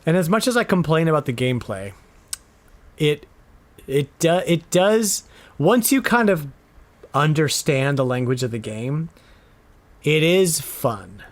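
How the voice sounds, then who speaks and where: A man hosting a casual video game podcast, talking with animated, exasperated emphasis. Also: a little high, a little slow, long pauses. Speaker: podcast host.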